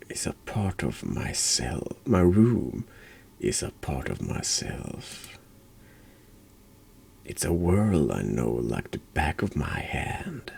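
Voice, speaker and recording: A man reading a horror game aloud in ASMR style, hushed and often slipping into a whisper. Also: rumbling low, vocal fry, breathy edge. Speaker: horror game streamer, narrator.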